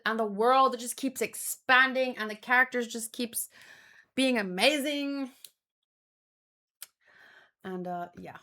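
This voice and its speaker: A woman's voice: on the high side, pushed and bright. A woman chatting casually as a streamer, thinking aloud in a rambling, exclamatory way. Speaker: manga read-along streamer.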